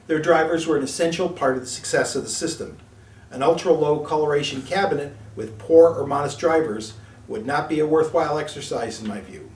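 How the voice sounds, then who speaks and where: Echoey, higher-pitched man reading a review. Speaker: product reviewer and presenter. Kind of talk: scripted review narration. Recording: echoey room, distant mic, music behind.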